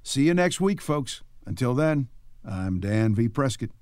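A man's voice: medium pitch, very animated, a little slow, darkish tone, no room sound, clean studio sound. A man announcing in a folksy, scripted radio style. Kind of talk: folksy radio sign-off narration.

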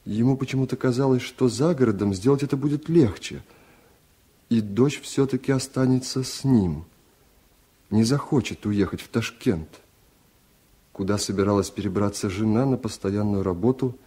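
A man reading a literary story aloud for radio, in a measured, reflective and restrained way. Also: lowish voice, mellow, husky. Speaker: narrator.

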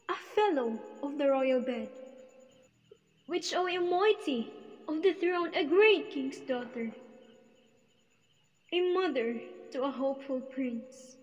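Brief: woman as proud wronged queen, high voice, slow and solemn, reverberant hall. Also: lo-fi sound, full character voice. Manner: slow, measured, solemn delivery. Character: wronged proud queen defending her honor. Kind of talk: classical stage monologue. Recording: long reverb of a hall-like room.

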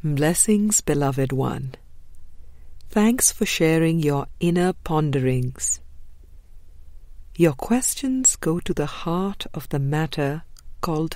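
A woman reading a spiritual book as an audiobook narrator, measured and deliberate, with frequent pauses. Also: sits low, warm tone, dry recording. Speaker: audiobook reader.

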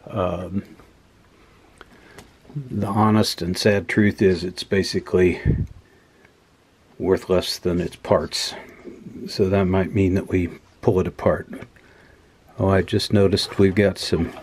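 A man with a low-pitched voice talks casually through a hands-on demonstration. He is measured and matter-of-fact, explaining things off the cuff as the host of a workbench video. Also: a little mellow, a little hiss or hum. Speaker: host of an electronics teardown video. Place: indoor workbench.

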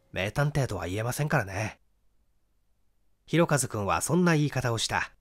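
Expressive middle-aged male narrator, lively pitch. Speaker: audiobook narrator, stage actor. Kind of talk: literary mystery narration.

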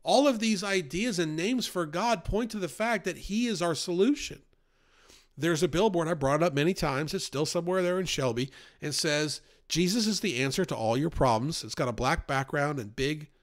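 Earnest man teaching the Bible. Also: normal speed. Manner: earnest and conversational, rises in pitch for emphasis. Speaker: Bible study host.

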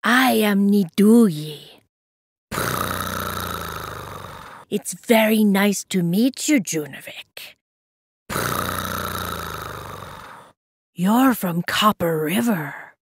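A woman voicing a calm female lynx, a wise wanderer of the wilderness in a children's story, with a slow, purring delivery. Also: mid-range voice, breathy edge, dry recording, clean studio sound, full character voice.

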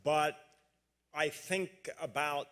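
Slow, hesitant man asking a question. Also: on the high side, steady tone, a bit bright. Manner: hesitant, pause-filled, formal. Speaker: audience questioner, law alumnus. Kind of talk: question from the floor.

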